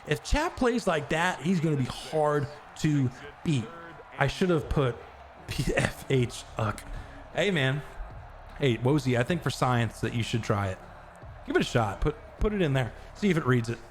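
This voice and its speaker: Male stream host, casual play-by-play, mid-pitched voice, excitable on big plays, faint noise behind. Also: moderate pace. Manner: excitable, jumps to high shouts on big plays; chats with viewers between plays. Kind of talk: live game-stream commentary.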